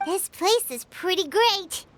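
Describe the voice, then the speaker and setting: A woman's voice: very high-pitched, expressive, slow, a bit bright, slight background noise, full character voice. A woman voicing a tiny, bubbly, childlike floating companion girl in an anime video game, with a chirpy, exclamatory manner. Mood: cheerful, reassuring. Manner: chirpy, exclamatory, speaks of herself in third person.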